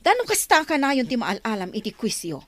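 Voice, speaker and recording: A woman playing a harsh, suspicious, scolding wife and mother in a radio drama, with a sharp nagging manner. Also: on the high side, very animated, fairly quick, no echo.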